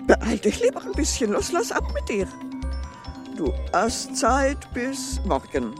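A woman's voice: fairly high pitch, huge pitch swings, measured pace, over music. An actress playing a haughty, mocking evil queen in a children's radio play, imperious and scornful, with hesitant fillers.

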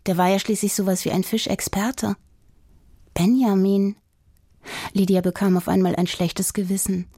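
Casting: female audiobook narrator, soft dark husky voice, expressive storytelling for children, dry close recording. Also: mid-range voice, moderate pace, pauses often, no room sound.

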